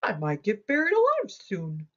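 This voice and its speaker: A woman's voice: mid-range voice, huge pitch swings, moderate pace, fairly bright tone, highs cut off. A woman voicing a boastful, indignant little male hare in a storybook, bragging and protesting. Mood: worried.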